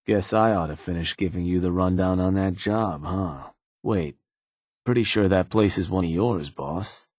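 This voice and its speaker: Raider gang fixer for a video game: low, raspy, shrewd, casually deferential to the boss, recorded very dry. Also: male voice, normal speed, darkish tone, no room sound. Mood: businesslike, casual. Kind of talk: video game character dialogue.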